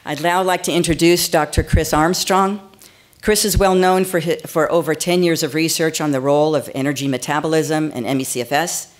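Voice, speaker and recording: A woman at a podium giving a measured, formal introduction of the next speaker. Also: low-pitched, steady tone, slight background noise. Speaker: foundation leader introducing a speaker. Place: conference hall podium. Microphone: podium microphone.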